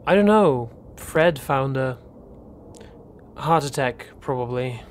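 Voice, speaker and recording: An actor playing a grim, matter-of-fact paranormal investigator in an audio horror drama, terse and subdued. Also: male voice, medium pitch, animated, takes it slowly, slight background noise.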